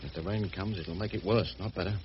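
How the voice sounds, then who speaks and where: A dull radio drama recording with clear noise behind it carries a man acting a rough, good-hearted boatman. He has a very low voice and a working-class English accent. His talk is colloquial and excitable, that of a plain working man. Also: quick pace, natural voice. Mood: wry, worried.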